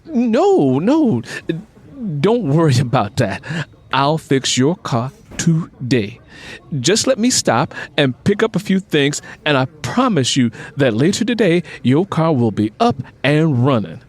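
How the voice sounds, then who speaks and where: Narrator doing a nice bashful handyman guy, casual, eager to help, husky, bouncy pitch. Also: sing-song, takes it slowly, full character voice. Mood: eager, reassuring. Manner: hesitant starts, casual and obliging. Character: eager, good-natured, slightly bashful handyman.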